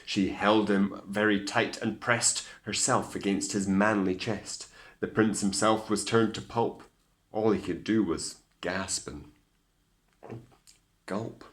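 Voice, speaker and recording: A Scottish man reading aloud as a teacher to children, in an expressive, theatrical storytelling style with hushed asides. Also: lowish voice, slow pace.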